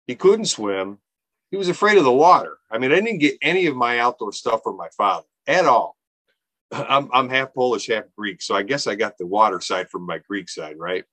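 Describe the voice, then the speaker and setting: A man's voice: mid-range voice, expressive, moderate pace, fairly bright tone, no room sound, rough recording. A man in his fifties, an organization founder, talking earnestly in a casual interview, often restarting his sentences. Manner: earnest, rambling, many restarts and fillers. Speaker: nonprofit founder, podcast guest.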